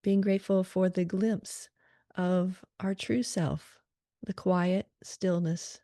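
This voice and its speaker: Slow, mellow woman guiding meditation. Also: on the low side, no room sound, clean studio sound. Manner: slow, calm, soothing, with gentle pauses between short phrases. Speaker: meditation guide. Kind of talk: guided meditation, calm spoken prayer.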